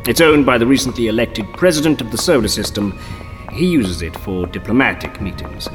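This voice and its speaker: An actor playing a terse, irritable secret agent in an audio drama, brisk and dry. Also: male voice, medium pitch, expressive, close to an everyday voice. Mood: businesslike. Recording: long echoing reverb, music underneath.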